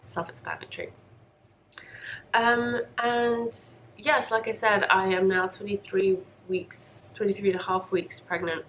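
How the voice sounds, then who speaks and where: A woman's voice: mid-range voice, level tone, a little slow, fairly bright tone, a little hiss or hum, rough recording. A young woman hosting a casual, chatty podcast, congested from a cold, rambling in an apologetic, self-deprecating way. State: congested and coughy from a lingering cold. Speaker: podcast host. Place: home craft room.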